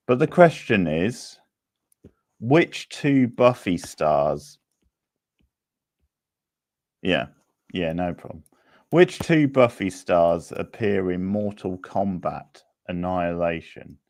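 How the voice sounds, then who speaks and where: Deep, gravelly man hosting a casual quiz. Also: dry recording, clean studio sound. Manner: chatty, answers participants as he goes. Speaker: quiz host.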